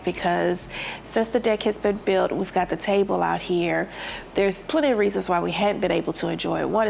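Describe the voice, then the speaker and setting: A woman's voice: on the low side, gravelly, slight background noise. A woman vlogging from her deck, chatty and upbeat, a bit nasal and stuffed up from allergies. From Texas. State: congested, talking through her nose. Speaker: home vlogger. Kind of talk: casual chat to camera. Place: outdoors on a home deck.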